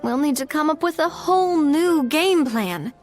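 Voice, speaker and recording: A kind young woman in a game, her voice fairly high and lively. She sounds easygoing and reassuring, chatting with her companions. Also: moderate pace. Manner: warm, reassuring, conversational. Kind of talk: game voice acting.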